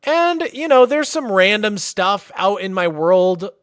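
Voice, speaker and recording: A man's voice: high voice, a little slow, smooth, no echo, studio quality. A man from Massachusetts streaming casually, chatting loosely with lots of "like" fillers as he tours a game world. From Massachusetts, USA. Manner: relaxed and chatty, many "like" fillers. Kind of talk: casual livestream commentary, touring a game world.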